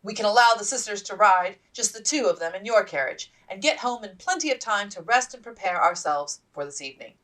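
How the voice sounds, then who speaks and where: A woman voicing a bossy, gossipy officer's wife in a storybook, brisk and conspiratorial. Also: mid-range voice, expressive, fairly bright tone, distant mic, voice pushed up, lightly acted, low-quality recording. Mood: brisk, commanding.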